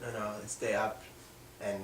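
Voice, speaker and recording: A young man with a South Sudanese accent and a low voice tells his own story off the cuff. He speaks haltingly, often breaking off mid-sentence. The recording is roomy and echoing, picked up at some distance from the mic. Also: flat delivery. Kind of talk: personal storytelling, unscripted. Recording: echoey room, distant mic, faint noise.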